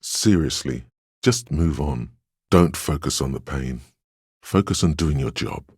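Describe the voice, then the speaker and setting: A man voicing a steadfast inner voice of willpower in a video game, a stern yet encouraging mentor with a measured, solemn delivery and a gravelly, husky tone. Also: a bass voice, lively intonation, a little mellow, no echo, clean studio sound, full character voice. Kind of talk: scripted game narration, second-person counsel.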